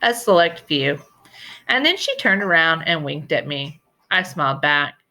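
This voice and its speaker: Bright-voiced woman reading a story aloud. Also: sits low, big pitch swings, moderate pace. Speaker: story reader. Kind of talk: expressive scripted storybook narration.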